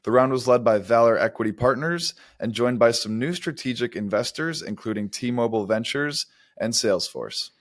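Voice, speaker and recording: A man's voice: medium pitch, moderate pace, no room sound, clean studio sound. A man co-hosting a podcast, explaining startup news in an articulate, semi-scripted way. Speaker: podcast co-host.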